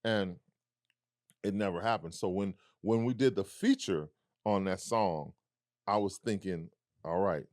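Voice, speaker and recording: A man with a deep baritone voice, reflecting on his past as a guest in a casual conversation and acting out remembered dialogue. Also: a little slow, pauses often, a little mellow, dry recording, studio quality. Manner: reflective, storytelling with quoted dialogue. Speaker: musician guest.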